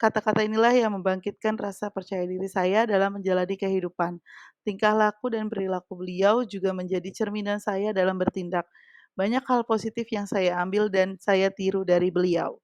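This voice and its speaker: A woman in her thirties, a teacher-training candidate, reading a prepared assignment in a measured, formal way. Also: medium pitch, fairly even intonation, no room sound. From Indonesia. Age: 30s. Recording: loud background music. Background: loud music.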